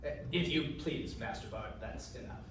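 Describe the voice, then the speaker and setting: A man's voice: medium pitch, moderate pace, natural voice. Man reading as an echoey, businesslike veteran guard sergeant. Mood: respectful. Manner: clear, businesslike, formal. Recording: distant mic, very echoey room, faint noise.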